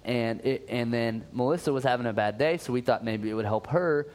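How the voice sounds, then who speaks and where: A man's voice: medium pitch, fairly quick, a little mellow. A man co-hosting a casual podcast, chatty and upbeat, with frequent "uh" fillers and restarts. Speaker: podcast co-host.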